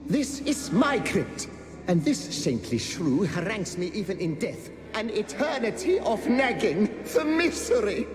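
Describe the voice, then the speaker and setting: Echoing male voice, very animated pitch, bitter henpecked ghost husband, sarcastic, exasperated, video game dialogue. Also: measured pace, soft background music. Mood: exasperated, outraged. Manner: exasperated, sneering, theatrical. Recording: long hall reverb.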